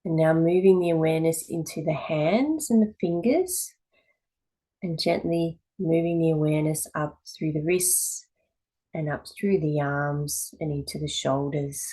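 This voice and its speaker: Female yoga instructor, slightly low pitch, calm and measured guided-meditation delivery with pauses. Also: husky, low-quality recording. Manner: calm, measured, with pauses between short phrases. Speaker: yoga teacher.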